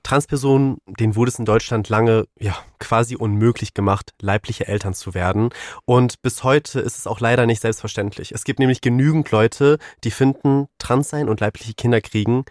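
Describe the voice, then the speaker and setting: A man's voice: fairly low pitch, moderate pace, darkish tone, no echo, studio quality. A young man co-hosting a casual podcast, laid-back and often dropping English phrases into his talk. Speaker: podcast co-host. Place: studio.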